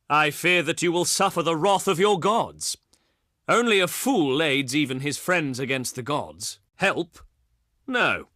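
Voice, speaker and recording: An actor playing a haughty, scornful ancient Greek diplomat in a video game, with a pompous, sarcastic, declamatory delivery. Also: male voice, fairly high pitch, sharp tone, no room sound, clean studio sound, full character voice. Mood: dismissive.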